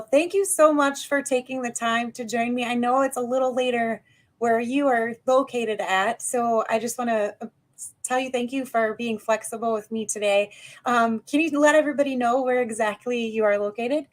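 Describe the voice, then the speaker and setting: A woman's voice: fairly high pitch, steady tone, moderate pace. An American woman hosting a live interview over a video call, upbeat and chatty as she greets the guest and the viewers. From United States. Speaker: podcast host.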